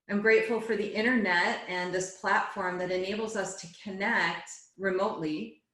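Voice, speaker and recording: A woman yoga teacher guiding a relaxation and meditation class in a soothing, unhurried way, phrase by phrase. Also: mid-range voice, fairly bright tone. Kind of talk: guided relaxation and meditation instruction. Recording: echoey, roomy sound.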